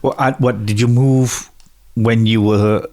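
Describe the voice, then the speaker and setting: A man's voice: mid-range voice, steady tone, unhurried, darkish tone. A man hosting a conversational interview, introducing his guest and prompting with questions. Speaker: interviewer, host.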